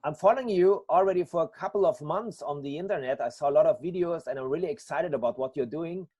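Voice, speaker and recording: A man's voice: on the high side, quick pace, darkish tone, slightly rough. A man hosting a podcast interview, asking friendly questions that halt and restart. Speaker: podcast host interviewing a band. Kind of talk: casual interview.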